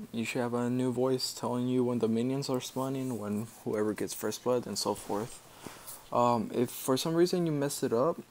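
A man narrates a screen-recorded how-to in a casual, unpolished way. His voice sits at an ordinary middle pitch. He explains things step by step, halting now and then as he finds his words. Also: darkish tone. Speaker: tutorial maker.

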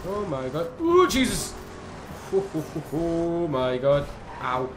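A young man with a fairly high voice, talking chattily over a driving game. He gets excitable and swears casually. Faint engine noise hums under his voice. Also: slow. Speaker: gaming YouTuber. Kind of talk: casual gameplay commentary.

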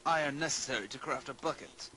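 A man voicing a courteous, scholarly old-fashioned gentleman farmer, using formal archaic phrasing in improvised video game chat. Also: medium pitch, fast, slight background noise, natural voice. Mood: courteous, earnest.

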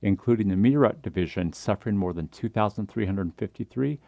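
A man's voice: fairly low pitch, a little fast, gravelly, dark tone, no echo, highs cut off. A man reading a scripted history documentary narration as the narrator.

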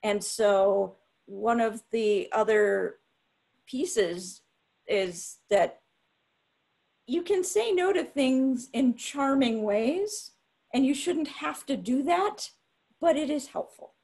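A woman speaking on a video-call panel, with a husky, gravelly texture in a voice that sits somewhat high. She talks slowly and frankly, pausing and restarting as she builds her points. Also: animated, a little mellow. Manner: frank, deliberate, with many restarts. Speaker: panelist, academic scientist. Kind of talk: panel discussion answer. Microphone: webinar video call.

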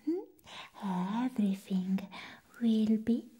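A young woman playing an unhinged, nervous, hungry vampire nurse in a whispered ASMR roleplay, halting and repeating words, close to the microphone. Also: medium pitch, expressive, warm tone, husky, full character voice. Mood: unstable, giddy. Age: young adult.

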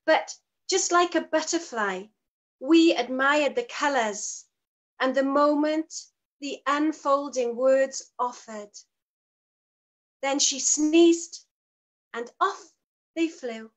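Welsh woman poet on a video call, high voice, slow unhurried talk, gentle reading. Home room. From Wales. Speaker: guest poet. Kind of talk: poetry reading and chat.

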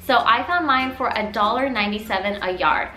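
A woman's voice: fairly high pitch, a little fast, sharp tone, reverberant. A young woman vlogging a chatty, encouraging DIY tutorial at home, her voice a little scratchy from a cold. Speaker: mom vlogger. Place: living room at home.

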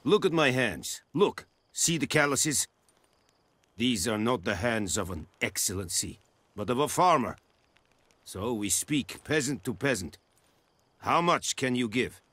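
A man playing a stern foreign army commander in a video game, speaking English as a second language in a formal, measured way. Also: mid-range voice, expressive, fairly bright tone, husky, no echo. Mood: firm. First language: not English. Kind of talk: video game dialogue acting.

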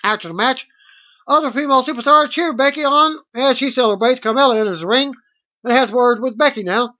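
A middle-aged man reading out a wrestling results recap as host, in a halting way, on a webcam mic at home. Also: very high voice, bright, forceful tone. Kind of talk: read-out play-by-play results recap. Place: small room at home, indoors. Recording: dull lo-fi webcam audio.

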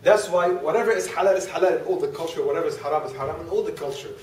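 A man's voice: a little high, rapid-fire, a little mellow, a little hiss or hum. A man in his forties giving a conversational classroom lecture while standing and gesturing, laughing easily. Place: classroom with display boards. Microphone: far camera. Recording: echoey room, distant camera.

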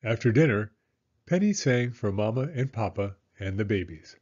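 A man narrating a children's story as a storyteller, in a measured, expressive manner. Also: fairly low pitch, lo-fi sound.

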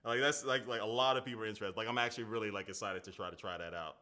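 A man's voice: lowish voice, steady tone, rapid-fire, pushed and bright. A man in his thirties hosting a casual live-stream phone unboxing, chatting loosely with viewers and reading their comments aloud. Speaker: tech reviewer. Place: indoor home studio at a desk.

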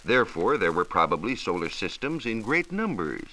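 An American man explaining science in a measured, precise, didactic way, in a scripted 1940s radio style. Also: fairly low pitch, very animated, pushed and bright. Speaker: science reporter explaining astronomy. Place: radio studio. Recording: hissy 1940s radio transcription disc.

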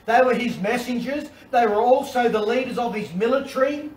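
A middle-aged man with an Australian accent, preaching an animated sermon from a covered outdoor deck, with repetitions and restarts. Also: extremely high pitch, a little fast. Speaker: pastor. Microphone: far camera. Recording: roomy and distant with faint ambience.

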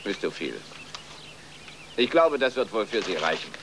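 A man playing a stingy farmer and father in a German-dubbed children's film, gruff and clipped. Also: on the low side, sing-song, moderate pace, fairly bright tone, a little hiss or hum. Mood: indignant, stingy.

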